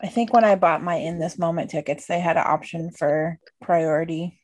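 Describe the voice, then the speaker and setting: A woman in her late thirties co-hosting a podcast, relaxed and laughing at herself. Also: fairly low pitch, normal speed, no room sound. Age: 30s. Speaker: podcast co-host. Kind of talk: casual chat.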